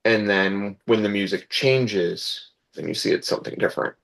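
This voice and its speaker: A man speaks in a low-pitched voice during an informal group discussion. He explains his opinion conversationally, building the point up piece by piece. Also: normal speed, gravelly, a bit bright. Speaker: participant in actors' discussion.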